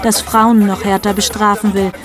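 A woman reads a scripted report voice-over at a medium pitch. Music plays clearly behind her. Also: flat delivery, moderate pace.

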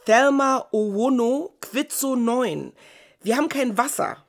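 A woman's voice: on the high side, slow, crowd noise. An actress playing a polite, exasperated village woman in a naturalistic radio play. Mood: insistent, polite.

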